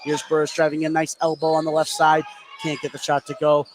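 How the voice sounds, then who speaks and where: A man's voice: on the high side, monotone, a little fast. A man doing live play-by-play radio commentary of a basketball game. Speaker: play-by-play announcer. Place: indoor basketball gym during a game. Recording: clear gym noise behind the voice.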